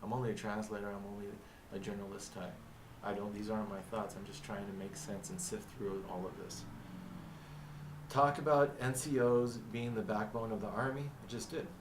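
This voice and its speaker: A man with a low, even voice and little lift in his pitch talks through a reflective monologue. He halts and restarts as he reads from notes, and his first language is Arabic. He sits back from the mic in a roomy, echoing space with a faint hum behind him. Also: far from the mic. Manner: halting, thinking aloud with restarts. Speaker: vlogger narrating his own story. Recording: echoey room, mic set back.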